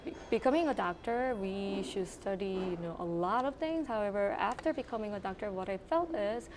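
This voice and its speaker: Korean-accented woman in her thirties, mid-pitched, thoughtful and hesitant with frequent fillers; faint room hiss. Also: expressive, moderate pace. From South Korea. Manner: thoughtful, with frequent ums and you-knows. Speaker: internal medicine resident. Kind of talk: interview answers.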